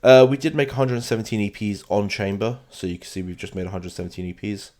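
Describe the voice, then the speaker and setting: London guy streaming a game, kinda low voice, rambly and chatty, talking to viewers while he plays. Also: steady tone, fast, a little mellow. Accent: British (London). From London, England. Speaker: gaming streamer. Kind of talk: casual live game commentary.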